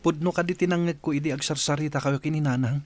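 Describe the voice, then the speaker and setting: Radio drama: dutiful young adopted son, played by a man, flat intonation, lighter pitch, plaintive. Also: fast talker, music in the background, natural voice. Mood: hurt. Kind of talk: Ilocano radio drama acting.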